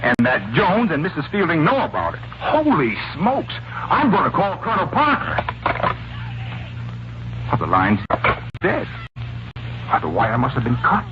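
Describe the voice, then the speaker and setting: An American man acting an excitable young army lieutenant in a 1940s radio drama, on a dull, noisy old recording. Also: a little high, measured pace, pauses often. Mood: alarmed.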